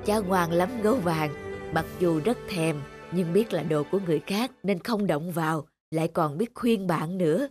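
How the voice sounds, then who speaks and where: A woman voicing a stern but kindly old grandfather bear in a children's cartoon, with a measured, admonishing manner. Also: lowish voice, over music, lightly acted. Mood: approving. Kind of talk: Vietnamese children's cartoon dubbing.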